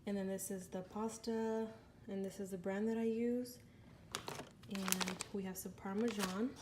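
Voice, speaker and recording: A woman's voice: mid-range voice, fairly even intonation, measured pace, noisy background. A woman talking through a recipe as she cooks in her home kitchen, chatty and correcting herself as she goes, filmed on a handheld phone. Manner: chatty, self-correcting, talks while cooking. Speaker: home cooking vlogger. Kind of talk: casual cooking tutorial. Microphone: handheld phone camera.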